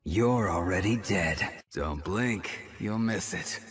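Deep, cold game assassin. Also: male voice, takes it slowly, in a large hall, a little hiss or hum. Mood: cold menace. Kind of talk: quiet game taunts.

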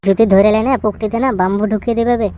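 A woman's voice: medium pitch, steady tone, racing, dark tone, close to an everyday voice. A woman voicing a lovelorn, stubborn young village man in a comic cartoon, with coarse slangy banter. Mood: aggrieved.

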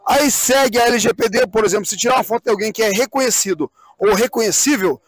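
A man's voice: high voice, fast. A middle-aged man speaks. He explains things in an interview, often repeating himself and asking rhetorical questions. The sound is dry, with no echo. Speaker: event president being interviewed. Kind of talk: live outdoor interview, explanatory talk. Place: outdoors on a wooden swing in a park.

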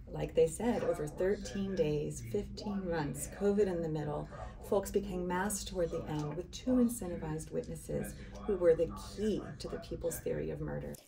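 A woman public defender giving firm, measured answers in a street interview. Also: deep voice, vocal fry, distant mic, poor audio.

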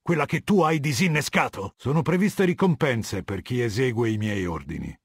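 A male actor playing an imperious, menacing middle-aged warlord in a video game, commanding, cold and condescending, with a husky voice. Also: mid-range voice, lively intonation, moderate pace, no echo, clean studio sound. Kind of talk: video game dialogue, Italian dub.

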